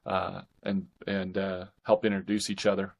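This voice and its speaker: A man's voice: low-pitched, completely flat delivery, normal speed, vocal fry. A man talking casually as an author guest in a podcast interview.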